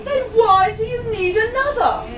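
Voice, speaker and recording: A woman's voice: extremely high pitch, unhurried, crowd noise. A woman playing a haughty, theatrical pantomime stepmother, recorded from a distance in an echoey room with an audience. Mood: scornful. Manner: theatrical, cooing, scornful delivery. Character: haughty, doting pantomime stepmother, fawning over daughters. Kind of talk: pantomime stage acting.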